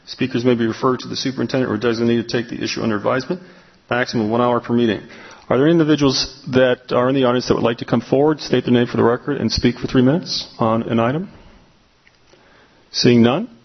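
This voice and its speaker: A man chairing a school board meeting, reading procedure and calling on members in a brisk, formal way. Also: mid-range voice, slightly rough, dull audio.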